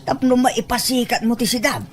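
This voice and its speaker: Woman acting a cautious, high-voiced reproving relative. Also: steady tone, fairly quick, a little hiss or hum, close to an everyday voice. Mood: reluctant, embarrassed.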